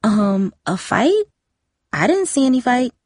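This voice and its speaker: A woman's voice: fairly high pitch, big pitch swings, unhurried, a little mellow, no room sound, studio quality, voice pushed up. A woman voicing an evasive, hesitant teenage schoolgirl in a story reading.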